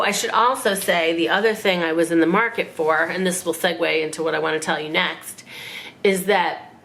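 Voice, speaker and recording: A woman in her forties, raspy from a cold, chatting and rambling in a casual haul vlog at home. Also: deep voice, a bit bright. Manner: chatty, rambling, self-mocking. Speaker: fashion vlogger. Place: living room at home.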